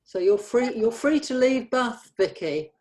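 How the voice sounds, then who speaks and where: A woman's voice: on the high side, animated, unhurried, darkish tone. Informal woman in a planning meeting. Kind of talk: casual production meeting talk.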